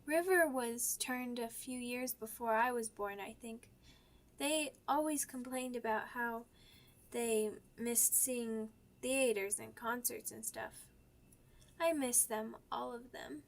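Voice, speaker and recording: A woman voicing an excitable, stubborn young werewolf pup in an ASMR roleplay, with childish, halting, repetitive speech. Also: on the high side. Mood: sad, homesick. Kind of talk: ASMR character roleplay audio drama.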